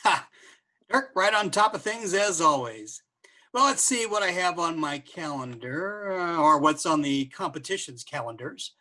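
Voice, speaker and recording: An actor playing an informal, energetic event planner and old pal in a comedy radio play. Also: male voice, on the high side, measured pace. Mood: breezy, wry. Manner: casual, energetic.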